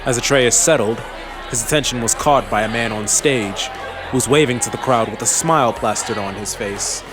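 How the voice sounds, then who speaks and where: Mid-pitched male audiobook narrator with music. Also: moderate pace, crowd noise. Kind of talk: scripted story narration.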